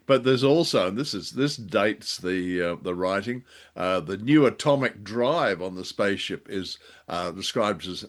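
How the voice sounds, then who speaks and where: A man co-hosting a podcast in casual review chat, with a wry, jokey way of talking. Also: sits low. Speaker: podcast co-host.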